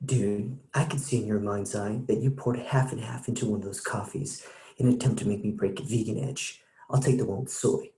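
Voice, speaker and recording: Man as a mellow, echoey dim rocker dude. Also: on the low side, fairly even intonation, brisk, natural voice. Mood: smug, confused. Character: dim, arrogant vegan rock musician, young man. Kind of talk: amateur screenplay table read. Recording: roomy echoing home mic.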